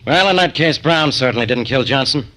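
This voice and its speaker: A bossy, exasperated police inspector with an American accent. The delivery is blunt and fast, in a sharp, forceful voice. It has the punch of 1940s radio crime drama. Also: male voice, mid-range voice, lively intonation, rough recording. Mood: businesslike.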